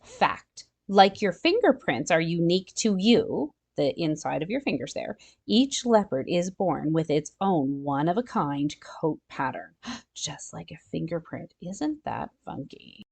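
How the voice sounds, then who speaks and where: A woman's voice: on the low side, very animated, measured pace, vocal fry, a little mellow, breathy edge. A woman reading a children's nonfiction picture book aloud, expressively, with chatty asides and exclamations to her young listeners. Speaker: children's storytime reader.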